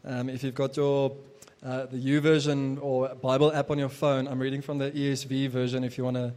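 A man's voice: medium pitch, level tone, a little fast, a little mellow. A young adult man whose first language is Afrikaans, preaching a casual sermon as pastor, with many fillers and restarts.

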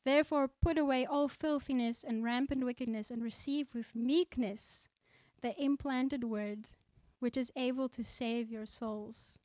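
A woman's voice: a little high, fairly even intonation, darkish tone, no room sound. Earnest woman preaching a sermon. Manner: earnest, slows down to read scripture aloud; conversational, with fillers and restarts.

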